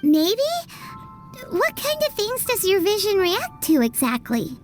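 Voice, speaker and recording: A very high, bouncy fairy girl voice that swoops up and down, childlike and blunt, over game music. She chatters about herself in the third person. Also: huge pitch swings, slow pace, full character voice. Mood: baffled. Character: tiny floating female fairy companion, blunt and excitable. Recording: game soundtrack music behind.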